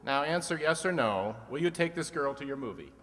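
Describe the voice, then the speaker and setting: A man's voice: fairly high pitch, lively intonation, fairly quick, a bit bright. A man playing a wisecracking, teasing male host of an old-time radio comedy quiz, speaking in a wry, quipping manner. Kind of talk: 1940s-50s comedy radio quiz re-enactment. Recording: echoey hall, long reverb.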